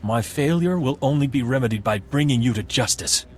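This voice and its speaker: An actor playing a remorseful, determined warrior in a video game, solemn and intense. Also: male voice, medium pitch, expressive, a little fast, a little hiss or hum, lightly acted. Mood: anguished, resolute. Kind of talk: cinematic game voice acting.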